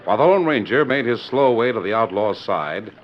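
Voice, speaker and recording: A man's voice: on the low side, lively intonation, brisk, slight background noise. A male announcer with an American accent, giving formal, theatrical narration in the style of a 1940s radio drama. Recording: dull lo-fi 1940s radio recording.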